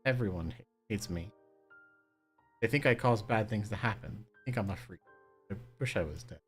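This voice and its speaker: Man voicing a hurt orphan girl, quiet, subdued, low, storybook voice. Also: normal speed, faint music behind, close to an everyday voice. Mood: despairing.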